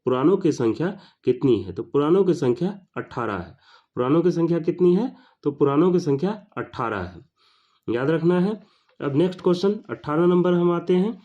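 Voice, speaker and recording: A man's voice: mid-range voice, mellow. A man teaches like an exam tutor, in a didactic question-and-answer manner, repeating each question and its answer. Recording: dull lo-fi sound.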